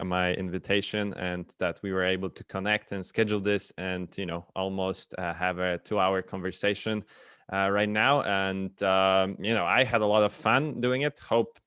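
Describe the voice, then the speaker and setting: A man's voice: lowish voice, fairly bright tone, smooth. A man hosting a podcast, asking questions hesitantly with lots of fillers and stumbles. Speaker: podcast host. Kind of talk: casual interview questions.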